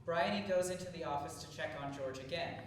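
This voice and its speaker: Low-voiced woman narrator, very echoey. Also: a little fast. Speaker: stage-direction narrator. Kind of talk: scripted narration. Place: indoor theatre, recorded at a distance. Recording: distant echoey theatre, clear background noise.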